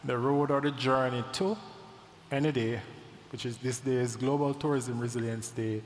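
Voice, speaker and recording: A man's voice: mid-range voice, steady tone, a little hiss or hum. Male presenter in an echoey hall. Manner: deliberate, introduces speakers. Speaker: event presenter. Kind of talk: formal introduction at an event. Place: large hall. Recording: echoey hall, heard from a distance.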